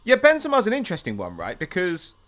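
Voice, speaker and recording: A man's voice: high-pitched, wildly swooping pitch, quick pace, fairly bright tone. A man hosting a casual football discussion, talking in an inquisitive, conversational way. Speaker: podcast host.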